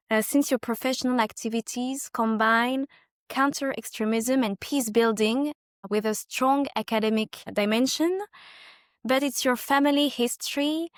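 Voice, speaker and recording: Woman, podcast host, fairly high voice, formal and polite, dry close sound. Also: dry recording. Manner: formal, polite, carefully phrased. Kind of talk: scripted introduction and interview questions.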